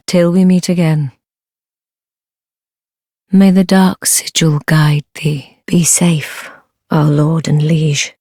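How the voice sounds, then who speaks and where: A woman playing a sly, devoted noblewoman in a dark fantasy game, speaking formal archaic English in a low, breathy, measured voice. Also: dry recording, studio quality, exaggerated voice. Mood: solemn, urging. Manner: measured, formal archaic speech, deferential yet commanding. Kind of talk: fantasy video game acting.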